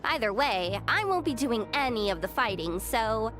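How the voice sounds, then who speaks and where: A woman's voice: fairly high pitch, sing-song, moderate pace, fairly bright tone, faint music behind. A woman playing a cocky, evasive young female treasure hunter in a video game, with a breezy, boastful, theatrical manner. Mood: breezy scheming. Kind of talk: video game character acting.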